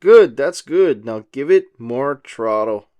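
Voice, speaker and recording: A man's voice: mid-range voice, lively intonation, slow, warm tone, clear, resonant tone, no room sound, highs cut off. A man voicing a cheerful, boastful tow truck in a storybook, with a folksy, eager manner. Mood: encouraging. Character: cheerful boastful tow truck who learns stunt flying. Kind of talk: storybook character voice.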